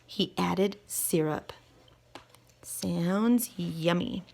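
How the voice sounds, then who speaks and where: A woman reads a children's story aloud with a swooping, animated melody. Her voice has a creaky edge at a medium pitch. She stretches key words for emphasis and speaks as a teacher does to young listeners. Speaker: teacher reading a picture book aloud.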